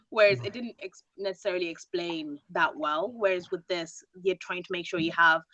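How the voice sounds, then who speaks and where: Chatty woman, low voice, dull audio. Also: fairly bright tone. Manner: chatty, acts out reactions in quoted asides. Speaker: book club panelist. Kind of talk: casual discussion.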